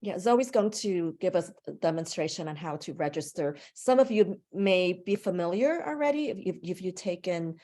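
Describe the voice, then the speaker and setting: A woman language instructor, a native English speaker, leading a conversational online class discussion over a video call, thoughtful and a little halting. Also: lowish voice, expressive, mellow. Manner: conversational, thoughtful, with a few restarts.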